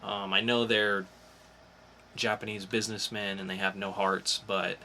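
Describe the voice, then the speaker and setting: A man's voice: fairly low pitch, flat delivery, measured pace, sharp tone, slight background noise. A man hosting a podcast, talking casually about geek news in an informal, explanatory way with some swearing. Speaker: podcast host.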